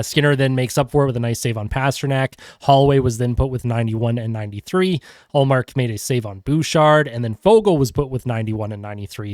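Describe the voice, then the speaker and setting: A man with a congested cold, hosting a podcast and running quickly through a post-game hockey recap with frequent fillers. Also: mid-range voice, a little mellow, no room sound, clean studio sound. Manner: quick play-by-play rundown with fillers. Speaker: podcast host.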